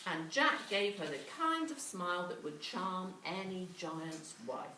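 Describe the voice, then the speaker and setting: A woman reading a children's story as a storyteller, with dramatic, drawn-out emphasis. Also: fairly low pitch, big pitch swings, pushed and bright. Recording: echoey room, distant mic, faint noise.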